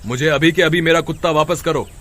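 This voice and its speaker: A man's voice: fairly high pitch, brisk, fairly bright tone, voice pushed down, natural voice. A firm, commanding village farmer acted by a man for a cartoon folk tale. He sounds aggrieved and stern, laying down terms to those who wronged him. There is a little noise in the recording. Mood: stern, threatening. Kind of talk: Hindi animated folk tale dialogue.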